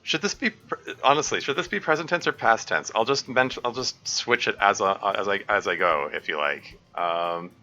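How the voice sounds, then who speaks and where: A man's voice: fairly low pitch, normal speed, bright, forceful tone. A man reading a visual novel aloud on a live stream, often stopping to correct himself and laugh. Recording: dull lo-fi stream audio, faint music.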